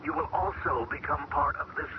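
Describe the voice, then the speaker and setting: An actor playing an airman taken over by an alien intelligence in an old radio drama, speaking in a calm, detached way. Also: a little high, moderate pace, vocal fry, full character voice. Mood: eerie calm. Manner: calm, detached and hollow, then pleading and broken. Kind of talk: 1970s radio drama acting. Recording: muffled, hissy old radio broadcast.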